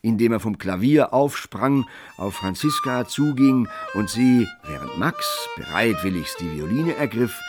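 Composed radio play narrator, lowish voice, old novella style, loud strings behind. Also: male voice, animated, a little slow, over music.

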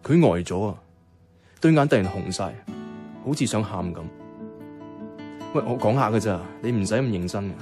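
A man acting a reflective narrator in a Cantonese radio drama, with a Hong Kong accent and measured storytelling. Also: on the low side, lively intonation, lightly acted. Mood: curious, gentle. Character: reflective male narrator recalling a quietly troubled woman friend. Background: guitar music.

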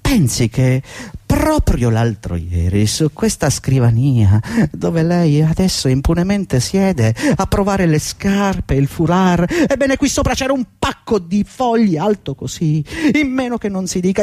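A fretful, high-voiced loyal office employee. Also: male voice, a little mellow, no room sound, clean studio sound. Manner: fretful, deferential, rambling and insistent. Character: agitated, servile, long-serving male employee pleading with his boss. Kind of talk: theatrical radio drama acting.

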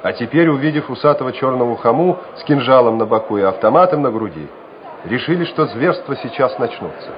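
A man narrating a dramatic literary story for radio, measured and expressive. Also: mid-range voice, a crowd in the background. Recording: muffled old radio recording, faint music.

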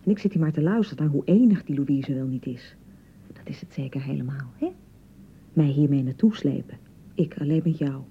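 An actress playing an insecure young newlywed wife in a radio drama, nagging and reproaching in repeated, sarcastic phrases. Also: female voice, deep voice, a little fast, lo-fi sound, a little hiss or hum. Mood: sarcastic, jealous. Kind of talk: naturalistic radio drama acting.

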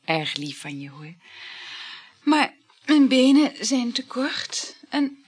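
Radio play mistress: clingy, sighing, whispery and husky, with an expressive rise and fall. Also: female voice, on the high side, slow pace, exaggerated voice. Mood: hesitant, teasing. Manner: languid, sighing, dropping into breathy whispers. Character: needy, adoring mistress, insecure and short of money. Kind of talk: intimate radio play dialogue.

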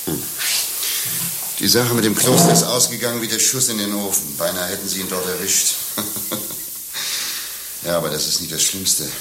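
A man's voice: low voice, moderate pace, long pauses, close to an everyday voice. A male actor playing a coarse, sardonic rogue in a satirical radio play, talking in a blunt, mocking, colloquial way. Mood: conspiratorial. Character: coarse, sardonic male rogue, grumbling about his lovesick friend. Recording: roomy radio play sound, faint hiss.

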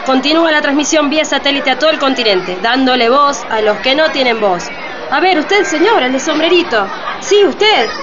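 A woman's voice: high voice, animated, normal speed. A woman playing a bossy, impatient live reporter in a radio play, announcing breathlessly. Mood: excited announcing. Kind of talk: radio drama news-broadcast acting. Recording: lo-fi, noisy street crowd behind.

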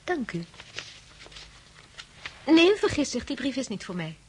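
Radio play: shy young lady companion, light high voice, courteous, hesitant, faint noise behind. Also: very animated, measured pace, a little mellow. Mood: guarded. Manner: polite, hesitant, formal. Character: timid young lady companion, polite, secretly romantic. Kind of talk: radio drama acting.

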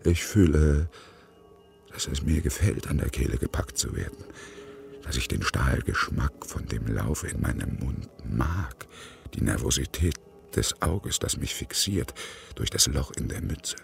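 An actor playing a brooding male courier in a radio drama monologue, hushed, halting and deliberate. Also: a bass voice, animated, creaky, soft background music, exaggerated voice. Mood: darkly fascinated. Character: brooding male delivery courier, darkly fascinated by danger. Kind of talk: dramatic first-person monologue.

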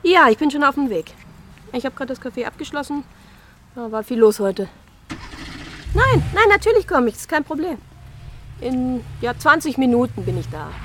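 High-voiced, sardonic woman activist. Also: sing-song, moderate pace, pauses often, a bit bright, slight background noise. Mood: hurried, obliging. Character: sharp-tongued, sardonic female animal-rights activist. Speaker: activist and café owner. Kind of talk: German radio crime drama acting.

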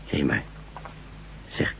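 An actor playing a polite, folksy and unbothered small-town sheriff in a science-fiction radio drama. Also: male voice, extremely slow, fairly bright tone. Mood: puzzled, unbothered. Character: easygoing, unhurried male small-town sheriff. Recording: dull lo-fi radio recording, humming noise.

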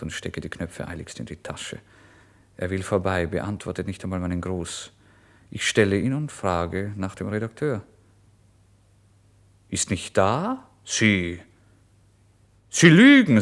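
A deep-voiced, feverish young writer tells his own story in a literary radio drama. He is proud, hungry and unsteady, with a theatrical delivery that moves between hushed confiding and exclamation. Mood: agitated, insolent. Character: starving, feverish, proud young male writer, giddy and erratic. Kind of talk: first-person literary radio drama monologue.

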